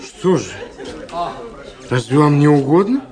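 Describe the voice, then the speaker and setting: An actor playing a drunken, insolent gentleman at a ball in a radio play, brash and drawling. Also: on the high side, sing-song, a crowd in the background. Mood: tipsy, insolent. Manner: brash, slow drawling challenge.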